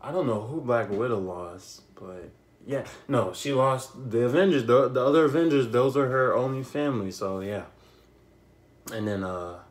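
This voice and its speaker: A young man giving a casual, unscripted movie recap, telling it in an animated way with repeated phrases and slangy asides. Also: medium pitch, normal speed. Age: young adult. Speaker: YouTube film reviewer. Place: small bedroom, indoors.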